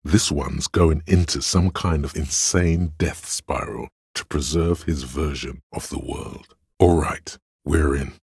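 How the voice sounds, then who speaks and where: A male inner voice of pure reason for a video game. It is exceptionally deep and rough with husk, recorded close and dry. The delivery is measured and analytical, with a skeptical, sardonic edge. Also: steady tone, darkish tone, no echo, full character voice. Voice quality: very deep, gravelly husky. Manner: measured, deliberate, dryly sardonic. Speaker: voice actor.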